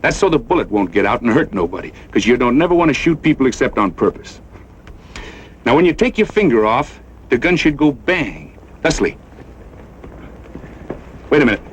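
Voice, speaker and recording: A man's voice: medium pitch, sing-song, normal speed, pauses often, slight background noise, natural voice. An actor playing a boastful, folksy cowboy gunman who teaches shooting in an old western film, with a drawling rustic way of talking. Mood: cocky, instructive.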